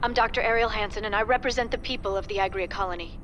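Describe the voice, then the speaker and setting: An actress playing a gentle, anxious female doctor pleading for help for her colony. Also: mid-range voice, very fast, highs cut off, faint music behind, natural voice.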